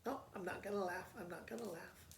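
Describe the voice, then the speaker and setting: Lady doing a shy sweet farm girl in a storybook, hesitant, bit creaky, echoey room. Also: mid-range voice, steady tone, brisk, distant mic, lightly acted. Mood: hesitant, obedient. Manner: hesitant, repetitive. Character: sweet, timid, obedient young farm girl. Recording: roomy echo with faint background noise.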